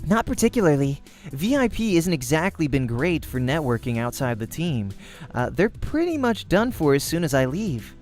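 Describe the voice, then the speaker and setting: A man acts out an ambitious young woman gamer in a visual novel. The delivery is curt and bossy, with lively swings in pitch. Music plays behind the businesslike lines. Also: moderate pace. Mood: brisk, bossy. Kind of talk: amateur visual novel character acting.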